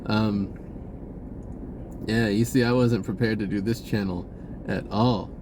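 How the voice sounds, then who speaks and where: A man talking casually in a rambling spiritual monologue, halting and often interrupting himself, in an informal way. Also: fairly low pitch, steady tone, noisy background. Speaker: spirituality vlogger.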